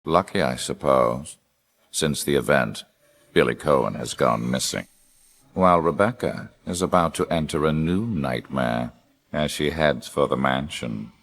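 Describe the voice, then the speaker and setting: Video game supervillain, rock-bottom pitch, heavy creak, cold, arrogant, scheming, measured, theatrical, menacing. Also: male voice, fairly bright tone, heavily acted. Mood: ominous narration. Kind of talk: scripted video game villain narration and dialogue.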